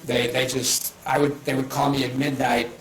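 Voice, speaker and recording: A man's voice: mid-range voice, little pitch movement, moderate pace, a little hiss or hum. An older man from Italy with a heavy Boston accent, talking casually and rambling with many fillers as he demonstrates painting to a crowd. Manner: rambling, with frequent fillers and restarts. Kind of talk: casual live demonstration talk. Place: art association meeting hall. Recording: echoey room, mic at a distance.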